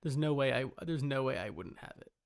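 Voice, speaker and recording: Casual livestreamer, very creaky lower-pitched voice, scattered muttering while setting things up. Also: expressive, brisk. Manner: muttering, scattered, self-interrupting. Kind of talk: casual livestream chat, talking to self.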